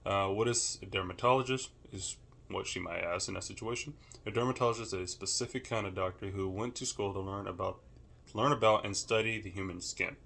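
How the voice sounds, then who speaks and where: A young man with a deep voice records a class assignment, talking casually and thinking aloud with many fillers. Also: fairly even intonation, a little fast, creaky, a bit bright. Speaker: student. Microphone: camera held or set nearby.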